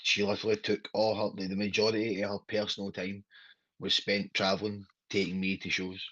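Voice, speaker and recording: A low-voiced, husky man in his thirties with a strong Glaswegian accent talks as a guest on a podcast. He rambles and swears casually, and he keeps asking whether his listener follows him. The audio sounds dull and lo-fi, with a dry, close feel. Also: monotone, brisk, sharp tone, no echo. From Scotland. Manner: rambling, sweary, keeps asking "do you know what I mean". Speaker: pro wrestler guest.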